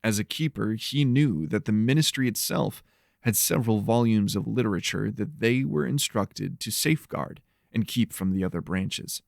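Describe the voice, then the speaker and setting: Guy reading dark horror fantasy, measured and serious, bit of gravel in it, super dry close sound. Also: lowish voice, no echo. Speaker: narrator.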